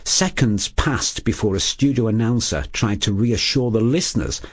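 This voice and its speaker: A man's voice: fairly low pitch, normal speed. A man delivering scripted documentary narration in a storytelling manner.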